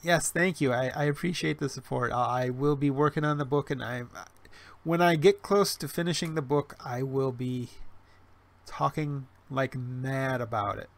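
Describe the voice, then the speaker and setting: A man's voice: medium pitch, normal speed, gravelly. A man in his forties hosting a casual livestream, talking in a rambling way full of restarts and fillers, with wry self-deprecating humor. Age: 40s. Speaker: livestream host. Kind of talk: casual livestream chat reading chat. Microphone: webcam stream mic.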